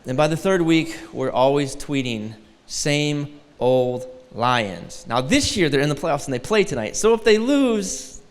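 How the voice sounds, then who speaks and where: A man's voice: fairly high pitch, sing-song, measured pace, hardly any pauses, clear, resonant tone, long reverb tail. A man in his forties from Detroit, a guest preacher giving a sermon with a light, thin voice and a joking, self-deprecating manner. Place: large church hall.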